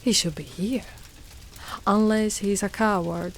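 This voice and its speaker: A woman's voice: mid-range voice, measured pace, a little hiss or hum, natural voice. A woman playing a devoted, fierce dragon knight in a dramatic ASMR roleplay, speaking in formal, archaic phrases with theatrical weight. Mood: menacing, commanding.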